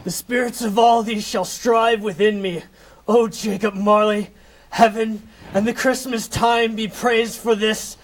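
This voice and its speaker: A repentant old miser in a comic audio play, with a very high voice for a male. He speaks slowly and theatrically, full of exclamation and raw emotion. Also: steady tone. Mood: giddy joy. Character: repentant old male miser, desperate then giddily overjoyed.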